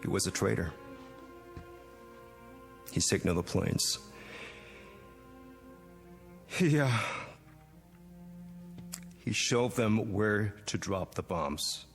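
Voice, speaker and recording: An actor playing a bitter, haunted young Japanese-American man in a 1960s television drama, speaking haltingly and intensely. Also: on the low side, animated, faint music behind, full character voice. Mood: tormented confession. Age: young adult. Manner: halting, intense, with repeated words.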